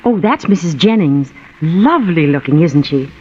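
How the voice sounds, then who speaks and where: A woman's voice: low voice, animated, normal speed, a little mellow. An actress playing a friendly, admiring middle-aged mother in a 1940s radio commercial sketch. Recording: muffled vintage radio, faint noise.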